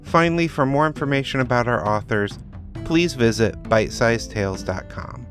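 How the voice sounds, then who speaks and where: A man's voice: mid-range voice, expressive, normal speed, a bit bright, soft background music. A man giving scripted narration as author and host of a story podcast.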